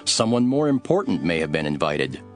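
Solemn male teacher-prophet, low voice, very varied intonation, earnest preaching, scripture drama. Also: big pitch swings. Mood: earnest teaching. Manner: measured, emphatic, preaching. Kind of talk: dramatized scripture reading over music. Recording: music behind the voice.

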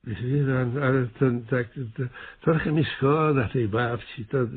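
Stammering, blustering town mayor, muffled recording. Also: male voice, mid-range voice, measured pace, slightly rough. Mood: baffled, hesitant. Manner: hesitant, stammering, repeating words. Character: blustering, slow-witted small-town mayor, male. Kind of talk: Polish radio play comedy acting. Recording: slightly muffled, lo-fi radio recording.

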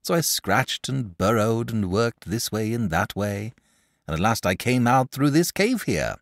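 A man's voice: medium pitch, creaky, no room sound, studio quality, pitched above the performer's own voice, heavily acted. A man voicing a genial, bashful male dragon who is a lazy poet, talking in a chatty, polite, eager way.

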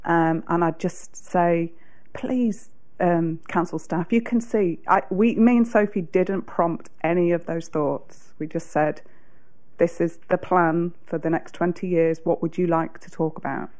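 A New Zealand woman with a big, loud voice and a fairly low pitch. She speaks as a teacher addressing a council meeting, chatty and full of fillers and restarts. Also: a little slow. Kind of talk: public submission at a council meeting. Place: council chamber.

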